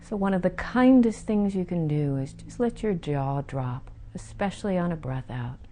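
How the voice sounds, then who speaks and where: A woman guiding a meditation, giving soothing, gentle instructions with unhurried pauses between phrases. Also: lowish voice, expressive, a little hiss or hum. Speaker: meditation teacher guiding a session. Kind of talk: guided meditation.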